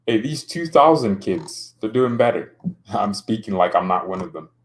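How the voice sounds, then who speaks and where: A man's voice: lowish voice, expressive, normal speed, poor audio. A young man casually streaming about football, joking around and reading out chat comments. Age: young adult.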